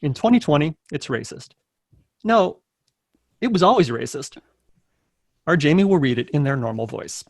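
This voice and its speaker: A man narrates a script at a table read. His voice is creaky with fry and recorded dry and close. He reads out the stage directions in a scripted style. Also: fairly high pitch, sing-song, normal speed, long pauses, dry recording.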